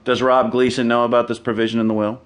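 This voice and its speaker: An actor playing a shrewd, unflappable American defense lawyer in a radio drama, with a clipped, questioning manner. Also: male voice, medium pitch, flat delivery, fast, smooth, lightly acted. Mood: measured. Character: shrewd, cool male criminal defense lawyer.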